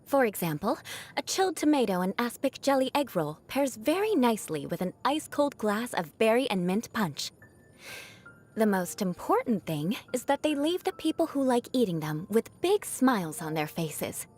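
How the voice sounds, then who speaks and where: A woman's voice: on the high side, sing-song, moderate pace, darkish tone. A young woman voicing a proud, haughty noblewoman knight in a video game, an outcast bent on revenge, with formal, dramatic diction. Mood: composed, matter-of-fact. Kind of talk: video game character voice lines.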